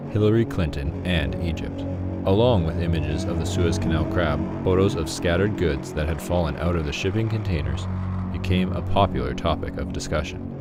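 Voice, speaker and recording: A man reading scripted mock-documentary narration in a formal, deadpan manner. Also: sits low. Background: music.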